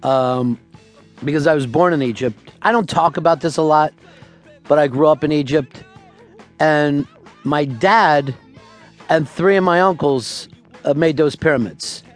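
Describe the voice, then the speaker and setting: A man hosting a radio talk show, taking callers and telling absurd tall tales with a deadpan, profane delivery. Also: fairly high pitch, a little slow, long pauses, faint music behind.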